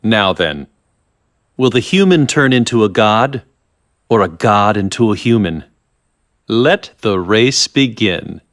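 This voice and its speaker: An actor playing a calm, scheming research director in a video game, with measured, condescending politeness and slyly menacing manner. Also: male voice, lowish voice. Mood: musing, pleased. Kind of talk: English video game voice acting.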